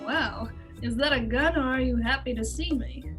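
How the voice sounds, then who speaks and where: A woman's voice: high-pitched, normal speed, natural voice. A woman playing a sultry, scheming femme fatale in a comedy noir radio drama. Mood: cornered, cool. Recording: music bed over video-call audio. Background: music.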